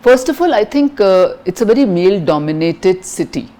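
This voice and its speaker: A middle-aged woman from India with an Indian English accent and a high-pitched voice, answering interview questions frankly, with lots of fillers and restarts. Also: big pitch swings, moderate pace, mellow. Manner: frank, many fillers and restarts, mixes in Hindi phrases.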